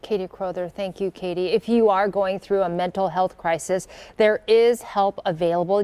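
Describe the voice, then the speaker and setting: A woman news anchor reading in a calm, polished studio delivery. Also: fairly low pitch, smooth.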